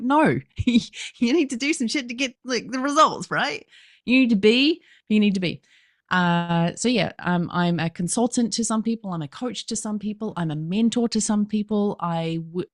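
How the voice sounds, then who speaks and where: A woman with a New Zealand accent, a business coach talking in a lively, emphatic way as a podcast guest. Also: medium pitch, creaky, dry recording, studio quality. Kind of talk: podcast interview.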